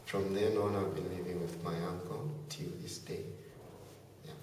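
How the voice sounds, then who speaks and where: Teenage boy from Zambia, deep voice, soft-spoken interviewee, far camera mic with roomy reverb. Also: steady tone, moderate pace, slight background noise. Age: teens. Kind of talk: casual interview answers. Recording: echoey room from far off.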